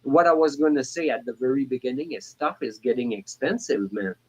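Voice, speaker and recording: A man in his forties calling in to a talk show, speaking in a halting way with many restarts and fillers. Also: medium pitch, animated, darkish tone, husky, low-quality recording. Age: 40s. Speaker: caller. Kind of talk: casual call-in chat.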